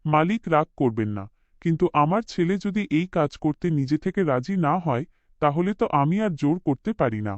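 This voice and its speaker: A man's voice: a little high, animated, fairly quick, no room sound, natural voice. A man voicing a desperate poor working father in a cartoon, deferential and sorrowful, in a dull recording. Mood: pleading. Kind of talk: cartoon voice acting.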